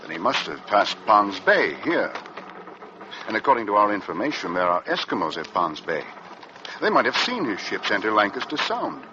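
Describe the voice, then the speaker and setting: An actor playing an earnest, thoughtful ship's officer narrating in old-time radio drama, measured, sober and respectful. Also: male voice, on the low side, sing-song, noisy background. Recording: dull old radio recording with music.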